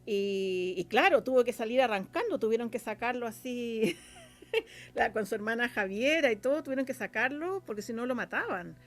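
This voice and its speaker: Chilean female guest, slightly high voice, casual and colloquial, thinks aloud while explaining. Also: expressive, normal speed. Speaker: interview guest, radio drama scriptwriter and producer.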